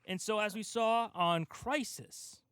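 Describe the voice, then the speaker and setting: Higher-pitched man, explanatory podcast host. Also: slow, fairly bright tone, clear, resonant tone, no room sound, clean studio sound. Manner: explanatory, emphatic, talks through an argument step by step. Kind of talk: casual pop culture discussion.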